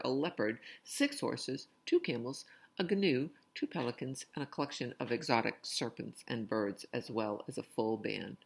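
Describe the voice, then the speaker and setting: A woman's voice: extremely low pitch, vocal fry, breathy edge. A woman reading a picture book aloud expressively, with casual asides to young listeners. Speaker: read-aloud storyteller.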